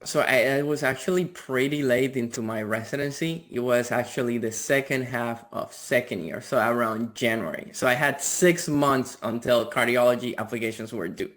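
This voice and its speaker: Male guest, thirties, from the Dominican Republic, medium pitch, casual talk full of repeated words. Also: moderate pace, few pauses, fairly bright tone. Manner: repeats words, frequent "like" and "you know". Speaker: interview guest, physician. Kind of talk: casual conversational interview.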